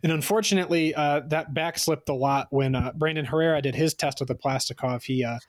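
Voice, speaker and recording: A man with a fairly high voice talks as a podcast guest in a very dry recording. He explains technical matters casually, in rambling stories. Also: brisk, no room sound. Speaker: podcast guest, firearm designer.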